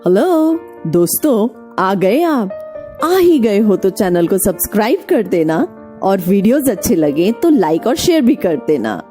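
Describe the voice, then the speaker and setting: Female presenter for a kids' channel sign-off: friendly, animated, soft-toned, children's music behind. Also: medium pitch, measured pace. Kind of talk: scripted friendly channel outro.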